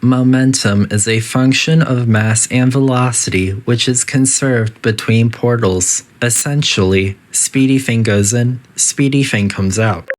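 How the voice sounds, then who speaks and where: A synthetic voice playing a politely detached, quietly menacing artificial intelligence that makes formal, deadpan announcements in a video game test facility. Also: mid-range voice, unhurried, few pauses, close to an everyday voice. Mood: clinically polite. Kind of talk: scripted game announcer narration.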